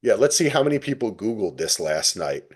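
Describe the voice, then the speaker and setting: A middle-aged American man from New Jersey chatting casually as a podcast co-host, telling things in an animated, profane way. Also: on the low side, brisk, husky. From New Jersey, United States. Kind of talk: casual TV recap chat.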